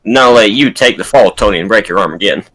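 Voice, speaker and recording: A man's voice: on the low side, quick pace, a crowd in the background, lightly acted. A man voicing a jaded, sarcastic cook in a comedy script read-through, dry and weary.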